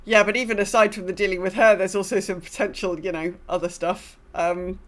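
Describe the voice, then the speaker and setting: A woman's voice: medium pitch, steady tone, a little fast. A woman co-hosting a podcast in casual chat about gaming, informal and rambling, with a self-deprecating streak. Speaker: podcast co-host.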